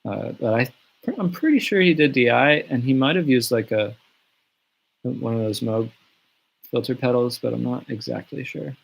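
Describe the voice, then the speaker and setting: A man chatting casually from his home on a livestream, answering fan questions in a relaxed, rambling way with many fillers and restarts. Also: medium pitch, normal speed, vocal fry, slightly rough, low-quality recording. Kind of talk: casual live Q&A. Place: home studio in the countryside. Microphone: webcam or phone on a livestream.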